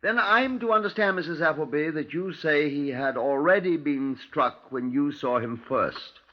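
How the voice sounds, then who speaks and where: A man's voice: a little high, close to an everyday voice. Man as formal, muffled radio police inspector. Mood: politely probing. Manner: formal, measured, politely insistent. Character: courteous, persistent Scotland Yard chief inspector, narrating his case. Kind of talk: old-time radio crime drama narration and dialogue. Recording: dull 1950s radio recording.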